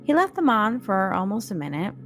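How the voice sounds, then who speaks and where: Woman reader as nervous teen girl from a scary tale, anxious and urgent, soft music underneath. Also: medium pitch, big pitch swings, brisk, voice pushed up. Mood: uneasy. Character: uneasy teenage girl being followed while driving at night.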